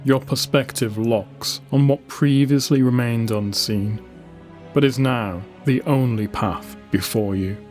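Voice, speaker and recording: Male audiobook narrator, unhurried and grave, dramatic pauses, middle-pitched, with music underneath. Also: a little mellow. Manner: solemn, deliberate, with dramatic pauses between short phrases. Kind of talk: scripted dramatic narration of science-fiction lore.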